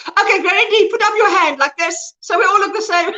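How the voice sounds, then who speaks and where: A woman's voice: extremely high pitch, brisk, sharp tone. A woman with proper, precise diction, chatting casually as a livestream host on a video call. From former British colony. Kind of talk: casual chat.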